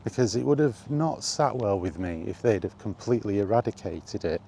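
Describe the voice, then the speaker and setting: A man hosting a casual, rambling chat, thinking aloud with hesitant pauses. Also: lowish voice, creaky, warm tone. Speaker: video host reminiscing about games. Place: on the move, walkie talkie vlog. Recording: faint ambient background noise.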